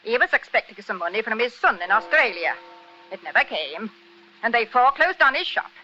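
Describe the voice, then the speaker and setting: An actress playing a brisk, sharp-tongued housekeeper at a village inn in a 1940s radio drama. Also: female voice, on the high side, big pitch swings, slight background noise. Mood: disapproving. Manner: scolding, disapproving. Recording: dull lo-fi 1940s radio recording.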